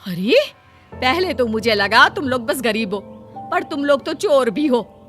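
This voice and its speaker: A woman's voice: high voice, expressive, a little slow, faint music behind. A woman playing a contemptuous, berating sister-in-law in a Hindi cartoon story. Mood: angry, scolding. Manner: sharp, berating.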